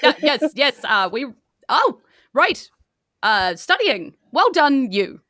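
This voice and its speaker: A woman's voice: high voice, very animated, slow pace, pushed and bright, no room sound, clean studio sound. A woman with a New Zealand accent, improvising casually as the game master of a tabletop role-playing game.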